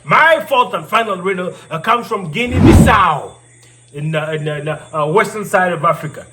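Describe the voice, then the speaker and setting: A man performing as a live storyteller and riddle host, theatrical, repeating phrases and pausing for effect. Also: high-pitched, expressive. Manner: theatrical, repeats phrases, pauses before answers. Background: music.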